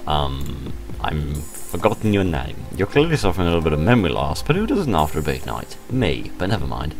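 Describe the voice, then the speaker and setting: A British man with a deep voice reads a visual novel aloud. His delivery swings widely in pitch, with wry, sarcastic asides, and game music plays behind him. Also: a little fast, vocal fry. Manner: expressive, deadpan sarcastic asides. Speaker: let's play host.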